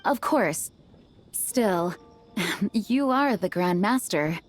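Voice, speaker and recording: An actress playing an earnest, dutiful young knight leader in a video game, polite, modest and formal. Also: female voice, mid-range voice, animated, very slow, a little hiss or hum. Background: music.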